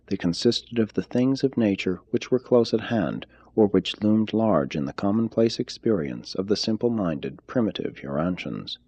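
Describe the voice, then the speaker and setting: A man reading a formal text aloud as scripted narration, in a measured, deliberate manner. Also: on the low side, creaky, darkish tone, breathy edge, no echo, highs cut off. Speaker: audiobook reader.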